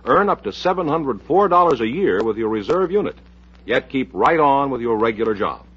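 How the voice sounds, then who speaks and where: Animated old-time American radio announcer, husky man. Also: mid-range voice, moderate pace. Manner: polished, folksy, upbeat salesmanship. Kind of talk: 1950s radio recruitment pitch and show hosting. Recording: muffled old radio transcription.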